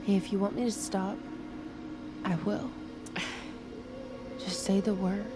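Actress as bold army trainee, very slow delivery. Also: female voice, medium pitch, level tone, lightly acted. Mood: seductive, daring. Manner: drawn-out, provocative. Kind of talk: realistic audio drama acting. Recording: music under the voice.

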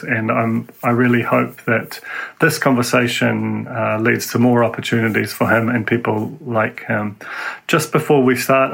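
A man with a New Zealand accent hosting an interview podcast, talking in halting bursts with many restarts. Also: mid-range voice, gravelly, fairly bright tone, poor audio. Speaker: podcast host.

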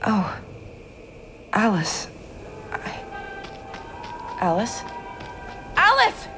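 An actress playing a gentle, anxious woman coaxing a child in an audio drama. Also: mid-range voice, wildly swooping pitch, drawn-out words, music in the background, heavily acted. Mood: concerned, coaxing. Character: concerned, gentle woman addressing a lost child.

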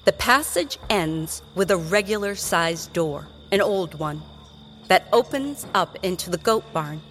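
A woman's voice: on the low side, expressive, a little slow, a bit bright, soft background music. A woman narrating a scripted fantasy tale for children in an audio drama. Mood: atmospheric.

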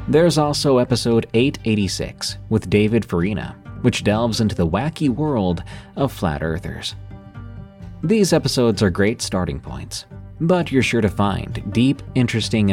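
A man's voice: lowish voice, darkish tone. A man reading a scripted podcast promo as an upbeat recommendation. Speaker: ad reader.

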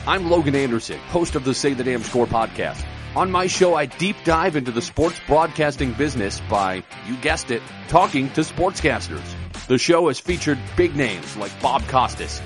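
A man hosting a podcast, reading a scripted promo to the listener. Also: mid-range voice. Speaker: podcast host. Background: guitar music.

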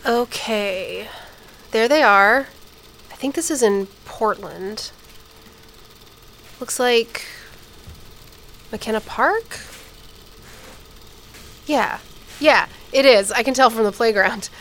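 An actress playing a nostalgic, casual American sister in naturalistic audio drama dialogue. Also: female voice, a little high, slow pace, pauses often, fairly bright tone. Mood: fond, nostalgic. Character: nostalgic, casual sister watching old home movies. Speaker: supporting character.

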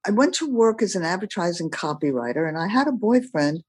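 Older woman telling her story, husky. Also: lowish voice, big pitch swings, dry recording, studio quality. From Belgium. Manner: measured, plain-spoken storytelling. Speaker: guest. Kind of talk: personal storytelling in a podcast interview.

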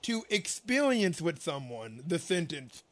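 Man, documentary maker, slightly high-pitched, emphatic, thoughtful, blunt. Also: very animated, a little slow, a bit bright. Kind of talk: reflective conversation.

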